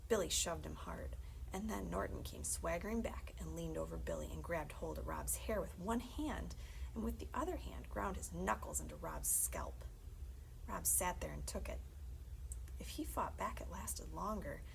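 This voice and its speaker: A woman with a low, creaky voice reads a children's story aloud. Her delivery is dramatic and very animated, often dropping to a hush at the ends of phrases. There is some background noise. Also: normal speed, low-quality recording. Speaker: librarian reading aloud.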